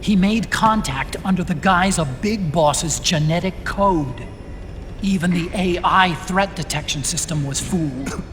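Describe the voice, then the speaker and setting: A man's voice: high voice, a little slow, a bit bright, faint music behind. A man playing an earnest, brainy technical expert explaining things in a video game cutscene. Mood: intent explaining. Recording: noisy background ambience.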